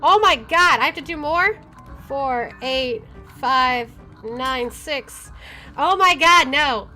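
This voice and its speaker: A woman game streamer with an expressive, excitable delivery, reading aloud and commenting as she plays. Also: sits high, slow. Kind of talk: dramatic reading aloud and let's play commentary. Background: faint game music.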